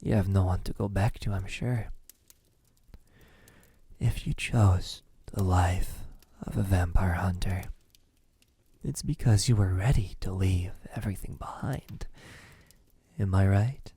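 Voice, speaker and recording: A man performs a lonely, possessive male vampire in an ASMR roleplay. His voice is low and breathy, often sinking to a whisper, with a menacingly tender, teasing manner. The recording is close and dry. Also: a little slow, long pauses, mellow, no echo, exaggerated voice. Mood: teasing, calm. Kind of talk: ASMR roleplay, intimate soft speech.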